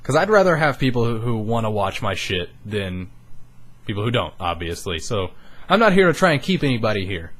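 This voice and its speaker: A man's voice: on the low side, sing-song, fairly quick. A young man of eighteen, a YouTuber, talking earnestly and rambling a bit on a studio microphone, swearing casually and sounding emotionally raw. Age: young adult. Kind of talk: candid personal vlog.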